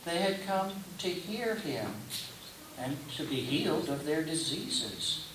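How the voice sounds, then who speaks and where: Slow, echoey man reading scripture. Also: fairly high pitch, animated. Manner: deliberate, declamatory phrasing with pauses between short phrases. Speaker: scripture reader. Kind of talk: solemn liturgical scripture reading. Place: roomy indoor church gathering space. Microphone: distant microphone. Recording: distant mic, echoey room, clear hiss.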